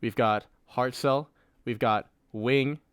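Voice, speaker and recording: A man's voice: medium pitch, fairly even intonation, takes it slowly, a bit bright, smooth, no echo, clean studio sound. A man co-hosting a podcast, talking in a casual group discussion. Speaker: podcast co-host.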